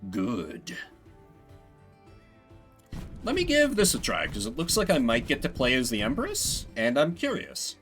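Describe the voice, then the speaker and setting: A man streaming a video game, commenting excitedly and swearing freely. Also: mid-range voice, a little slow, pauses often. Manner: profane, animated. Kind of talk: excited gaming livestream commentary. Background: game music.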